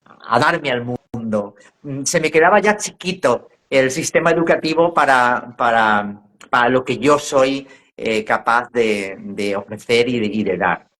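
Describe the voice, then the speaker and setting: A man's voice: medium pitch, unhurried, fairly bright tone. A man in his fifties from La Mancha, a former teacher, talking reflectively as a guest in a casual video-call interview. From La Mancha, Spain. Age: 50s. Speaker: guest, educator and trainer.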